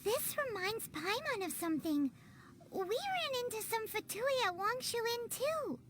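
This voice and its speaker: A tiny chatty game fairy with a piping, childlike squeak. The delivery is excitable and swoops up and down, yet takes its time. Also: female voice, a little slow, very noisy, exaggerated voice. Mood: puzzled. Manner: refers to self in third person. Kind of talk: video game voice acting.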